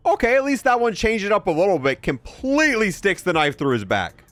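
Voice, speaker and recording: A man's voice: sits high, sing-song, a little fast, a bit bright. A young man giving casual, matter-of-fact commentary as he explains and shows off video game content. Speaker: gaming video host.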